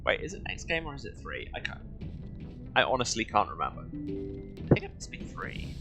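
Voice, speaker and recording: A man's voice: medium pitch, wildly swooping pitch, normal speed. A man hosting a video game playthrough, chatting with wry asides as he comments. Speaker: let's play host. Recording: game music behind the voice. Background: video game music and ambience.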